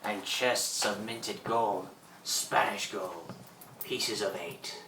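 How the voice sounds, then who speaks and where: An actor playing a boastful, menacing old pirate in a theatrical radio play. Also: male voice, fairly low pitch, takes it slowly, bright, forceful tone, a little hiss or hum, full character voice. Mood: gloating. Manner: theatrical, gloating, cackling. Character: boastful old French pirate, greedy and menacing, newly revived. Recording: roomy, distant microphone.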